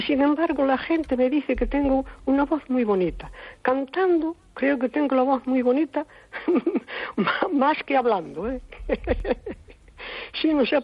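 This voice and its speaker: A woman's voice: high-pitched, lo-fi sound. An older woman calling in to a radio show, chatty and insistent, who repeats herself as she talks.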